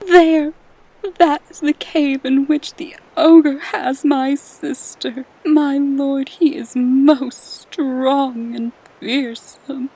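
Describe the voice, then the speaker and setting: A woman playing a soft, pleading young medieval maiden who speaks in a demure, formal, archaic way in a comic dub. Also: high-pitched, steady tone, drawn-out words, heavily acted, low-quality recording. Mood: urgent, wary.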